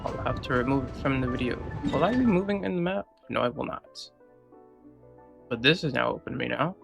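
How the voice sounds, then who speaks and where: A man's voice: mid-range voice, animated, brisk, gravelly. A man giving casual, reactive gameplay commentary, talking to himself as he plays. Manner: reactive, swearing, talks to himself. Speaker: video game streamer. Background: game music.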